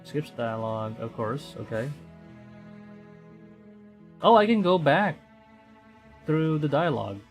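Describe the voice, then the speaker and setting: A man from the Philippines chats casually as he hosts a game livestream. His delivery is unhurried and halting, and he often circles back to restate a phrase. Faint music plays behind his mid-pitched voice. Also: sing-song. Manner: hesitant, halting, repeating and rephrasing phrases. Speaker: VTuber livestream host.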